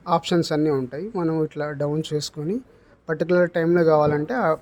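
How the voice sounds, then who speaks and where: A man's voice: on the high side, a little mellow. A man giving an unscripted, explanatory product demonstration, mixing in English technical terms. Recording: clear background noise, room ambience.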